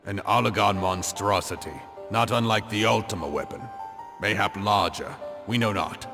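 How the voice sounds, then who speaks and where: An actor playing a stern, blunt military commander in a fantasy video game, speaking gravely in formal, archaic phrasing. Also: male voice, lowish voice, moderate pace, music in the background. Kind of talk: scripted video game drama.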